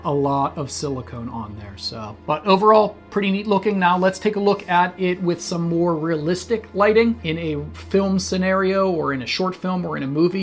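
A man's voice: on the high side, fairly bright tone. A man in his thirties, a channel host, chatting casually and explaining practical details in a small indoor studio. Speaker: channel host reviewing masks. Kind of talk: casual review talk.

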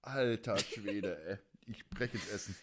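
A man's voice: fairly low pitch, unhurried, slight background noise. A man hosting a casual fan podcast, colloquial and teasing, with plenty of opinions. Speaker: podcast host.

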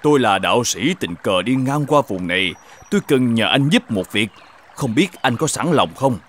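A man voicing a mysterious old Taoist sage in an animated fairy tale, courteous and formal in manner. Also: mid-range voice, normal speed. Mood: courteous, persuasive. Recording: music behind the voice.

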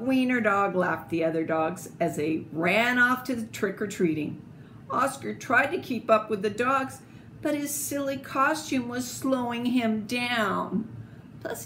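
An older woman reading a children's picture book aloud in an expressive, deliberate, theatrical way, with little asides. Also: a little high. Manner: slow, deliberate, theatrical phrasing. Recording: clearly noisy home video recording.

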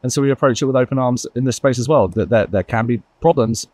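A man on a discussion panel rattles off his points at a quick clip, with lots of asides. His voice is recorded close and dry, with no room sound around it. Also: medium pitch, darkish tone, no echo. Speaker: roundtable guest.

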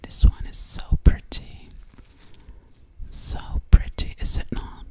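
A young woman doing ASMR personal attention in a breathy whisper, very close to the microphone, repeating words and phrases. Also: takes it slowly, warm tone. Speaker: ASMR performer. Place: small indoor room. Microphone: very close microphone, ear to ear.

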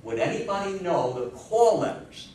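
A man's voice: high-pitched, animated, darkish tone. Echoey, distant older man giving a lecture. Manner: emphatic, deliberate storyteller with rhetorical questions. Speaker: guest lecturer on history. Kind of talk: public talk to an audience. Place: indoor meeting room with seated audience. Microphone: distant camera microphone. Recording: echoey room, distant mic, faint noise.

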